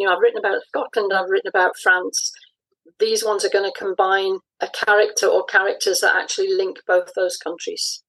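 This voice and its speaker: A woman's voice: medium pitch, low-quality recording. A woman speaking informally as a guest writer in an interview.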